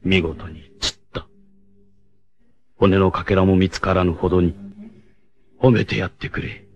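An actor playing a noble, fatherly blind rebel warrior in an anime, with a solemn, formal, measured delivery. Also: low voice, steady tone, muffled recording. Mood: quietly grieving. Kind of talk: dramatic anime acting.

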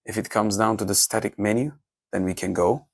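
A tech reviewer talks through settings menus, stopping and restarting often. His voice is low with a gravelly edge. The recording is dry and close. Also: no room sound. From Turkey. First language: Turkish. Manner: halting, many restarts and fillers. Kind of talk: off-the-cuff menu walkthrough.

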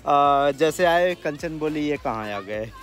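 A man's voice: a little high, expressive, takes it slowly, fairly bright tone, faint music behind. A man in his thirties chatting casually to a handheld camera outdoors at a campsite and a beach, laughing often and mixing in English. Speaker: family vlogger. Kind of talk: casual vlog narration. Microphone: handheld camera at arm's length.

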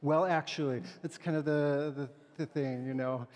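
High-pitched pastor in an echoing church. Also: male voice, steady tone, normal speed, darkish tone. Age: middle-aged. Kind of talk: sermon with poem and scripture readings, conversational. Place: large church sanctuary, indoors, at a pulpit. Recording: echoey church hall, long reverb.

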